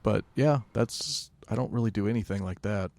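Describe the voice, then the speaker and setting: A man's voice: fairly low pitch, moderate pace, gravelly, a little mellow. A man co-hosting a podcast in casual chat, laid-back with dry jokes and hesitant fillers. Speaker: podcast co-host.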